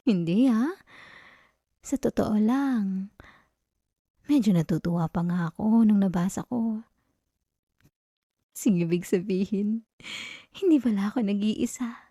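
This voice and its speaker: A woman playing a teasing, possessive young yandere girlfriend in an intimate ASMR roleplay, soft and breathy with a Filipino accent, coaxing and often slipping into whispers. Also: mid-range voice, no room sound, clean studio sound. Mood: soothing, possessive. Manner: slow, coaxing, giggly teasing. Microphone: close, dry ASMR microphone.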